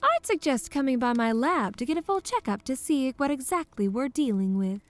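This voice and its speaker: A woman playing a brisk, teasing lady scientist, poised and matter-of-fact, in a scripted audio roleplay. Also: sits high, very animated, no room sound, soft background music.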